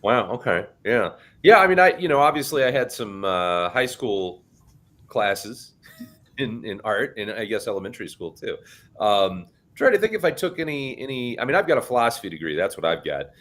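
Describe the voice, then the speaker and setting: A middle-aged man co-hosting a podcast in casual chat, talking in a big loud voice. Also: lowish voice, normal speed. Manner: animated, self-described loud talker with a big voice. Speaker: podcast co-host.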